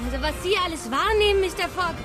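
A polite young lady traveller in a radio play. Her voice is very high and gentle. Music plays underneath. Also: lively intonation, fast. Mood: wistful.

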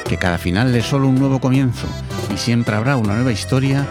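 A man playing a courteous, sentimental radio presenter, reading a scripted sign-off in a solemn, measured way. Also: medium pitch, music in the background, close to an everyday voice. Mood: warm, solemn.

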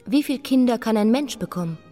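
A woman's voice: fairly high pitch, expressive, a little fast, dark tone, faint music behind, lightly acted. An actress playing a defiant, sardonic young queen in a radio drama, blunt and challenging. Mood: defiant, probing.

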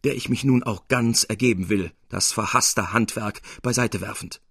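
A male reader plays an earnest young surgeon devoted to art in a literary audiobook. The voice is low and husky, close and dry. He speaks with fervent reverence in old-fashioned, formal language. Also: normal speed, a bit bright, no echo. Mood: earnest, proud. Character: earnest, adoring young surgeon who loves painting.

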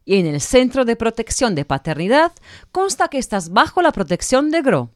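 A woman's voice: a little high, big pitch swings, moderate pace, dry recording, studio quality. A woman playing a blunt, sardonic commentator in an audio drama, speaking emphatically and critically. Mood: accusing, exasperated.

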